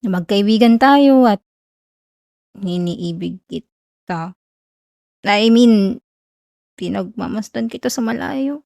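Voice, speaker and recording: A woman playing a shy, whiny tsundere best friend in an ASMR romance roleplay, with a Filipino accent and a stammering, halting delivery. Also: mid-range voice, creaky, a little mellow, dry recording, studio quality. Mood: shy, vulnerable.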